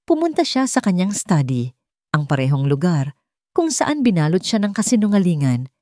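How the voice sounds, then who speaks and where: A Filipino woman narrates a scripted drama as a storyteller. Her voice sits on the low side. The recording is dry, with no room around it. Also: very animated, moderate pace, warm tone, no echo. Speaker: storyteller of an audio love drama.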